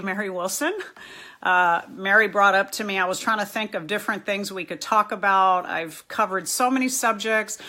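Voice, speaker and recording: A woman's voice: mid-range voice, sharp tone. A middle-aged woman, a therapist from New York and Miami, hosting a casual live stream, chatty and poking fun at herself while greeting viewers by name.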